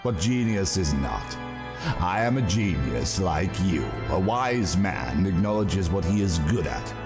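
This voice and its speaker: An arrogant old warrior scientist with an extremely low voice that moves expressively through its phrases. He lectures with cool, pompous courtesy in a dramatic sci-fi reading, with loud music behind him. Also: sing-song, exaggerated voice. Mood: proud, lecturing. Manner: measured, formal, pompous. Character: arrogant, courteous, coolly lecturing old male mad scientist super-soldier. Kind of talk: dramatic audiobook-style reading.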